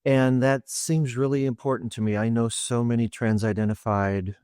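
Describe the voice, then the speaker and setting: A man co-hosting a podcast, phrasing a long question in a halting, searching way. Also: mid-range voice, fairly even intonation, a little mellow, smooth, dry recording, clean studio sound. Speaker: podcast co-host. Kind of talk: interview questions.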